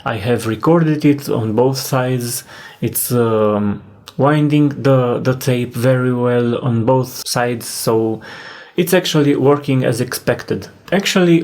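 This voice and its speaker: A man narrating a hands-on repair in a casual, halting way, thinking aloud as he works. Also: mid-range voice, darkish tone. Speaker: host demonstrating a cassette repair.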